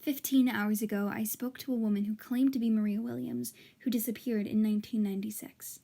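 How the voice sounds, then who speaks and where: A woman's voice: a little high, fairly even intonation, a little fast, gravelly, dark tone. A woman playing an earnest, determined investigative reporter who gets easily flustered, in a podcast-style audio drama. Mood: grave, confiding. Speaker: actor and narrator in an audio drama. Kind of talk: podcast-style narration and naturalistic drama dialogue.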